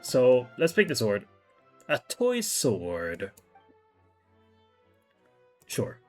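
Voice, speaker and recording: A game streamer thinks aloud through a playthrough with slow, informal musing. The voice sits at a middle pitch, casual and muttering, with game music behind it. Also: huge pitch swings, darkish tone.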